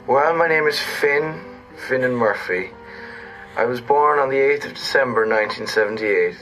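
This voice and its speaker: A man's voice: medium pitch, normal speed, a bit bright, soft background music, close to an everyday voice, low-quality recording. An actor playing a self-mocking, ill Irish journalist in his thirties in a radio drama. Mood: resigned, wry. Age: 30s.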